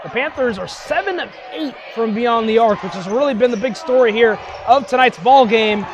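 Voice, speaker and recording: A young man giving excited, projected live radio play-by-play of a basketball game. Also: extremely high pitch, normal speed, lo-fi sound. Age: young adult. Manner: excited, projected, often shouting calls. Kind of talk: live radio sports commentary. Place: courtside in a basketball arena. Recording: loud crowd and gym noise behind. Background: crowd, bouncing ball, gym noise.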